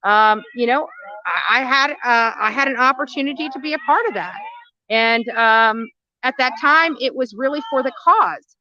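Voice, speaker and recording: A woman's voice: sits high, moderate pace, sharp tone, no echo. A woman in an archive clip, an activist who praises herself earnestly with many "um"s and "you know"s. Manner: earnest, self-praising, filler-heavy. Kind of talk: self-promoting interview clip. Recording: dull lo-fi clip audio.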